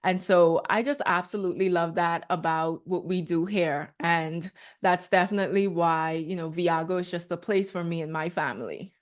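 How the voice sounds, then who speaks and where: A woman's voice: lowish voice, moderate pace, few pauses. A woman from the Bahamas with a Bahamian accent, a guest speaking earnestly and steadily with many ums on a video call. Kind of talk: online training call.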